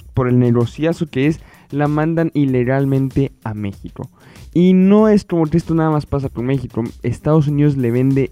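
Mellow, dark-voiced man hosting a podcast. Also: mid-range voice, no echo. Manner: rhetorical questions, addresses listeners directly. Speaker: podcast host. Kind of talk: conversational news commentary podcast. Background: background music.

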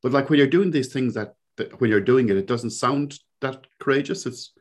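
A man's voice: medium pitch, moderate pace. A man around sixty with a Cork Irish accent. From Ireland. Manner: reflective, rambling with fillers. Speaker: podcast guest. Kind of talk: conversational interview.

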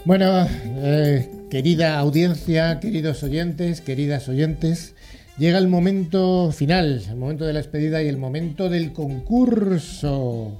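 Male radio host, upbeat and jokey, mellow warm tone, faint music bed. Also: a little high, measured pace. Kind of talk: casual radio chat.

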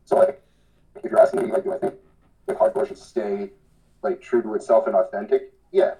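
A man's voice: lowish voice, fairly even intonation, a little fast, warm tone, poor audio. A man giving casual, slangy interview answers as a podcast guest, earnest and rambling. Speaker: podcast guest, hardcore band vocalist.

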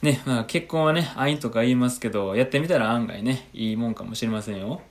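A man's voice: mid-range voice, a little fast. A man, a podcast co-host explaining things politely and matter-of-factly in a comic skit. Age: late 20s. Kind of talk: radio-drama style comic skit dialogue.

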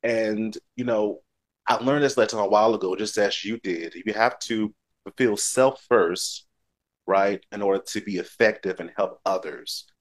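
A man's voice: on the low side, unhurried. A man hosting a motivational talk show, speaking conversationally in an encouraging, affirming manner.

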